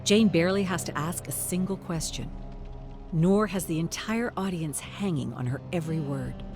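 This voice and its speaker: A woman's voice: lowish voice, normal speed, over music. A woman reading scripted, dramatic story narration.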